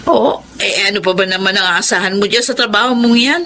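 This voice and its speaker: Sharp, scolding big sister. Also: female voice, medium pitch, a little fast, a little hiss or hum. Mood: angry, mocking. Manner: sarcastic, belittling. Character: bitter, scolding breadwinner older sister. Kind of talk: emotional Filipino audio drama acting.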